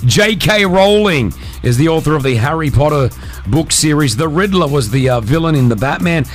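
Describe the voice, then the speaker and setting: A man hosting a morning radio show, energetic and upbeat as he runs a cash quiz. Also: on the high side, moderate pace, faint music behind. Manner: energetic, hypes up prizes. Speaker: radio host. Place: radio studio. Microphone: studio mic.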